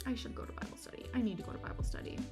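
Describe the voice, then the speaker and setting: A tired woman with a Michigan accent, chatting casually and rambling as she answers viewers during a livestream. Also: very fast. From United States. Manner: rambling, chatty, self-deprecating, answers chat questions. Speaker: livestream host. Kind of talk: casual chat with viewers during a co-working stream. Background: lofi music.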